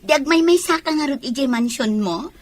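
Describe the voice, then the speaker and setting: A woman's voice: high voice, animated, moderate pace, dry recording. A woman playing a playful, teasing young nurse in a radio comedy drama.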